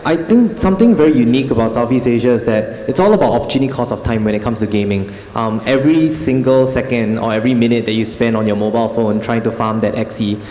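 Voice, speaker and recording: A man's voice: mid-range voice, fast, few pauses, mellow, slight background noise. Man moderating in an echoey conference hall. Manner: casual, with many fillers and restarts. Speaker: panel moderator. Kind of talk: conference fireside chat. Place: large conference hall stage.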